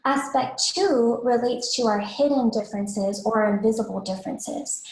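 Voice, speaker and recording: A woman with a soft voice presenting a webinar to parents in an informal, explanatory way, posing questions as she goes. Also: fairly high pitch, moderate pace. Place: indoors, online webinar. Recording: roomy, echoey webinar audio.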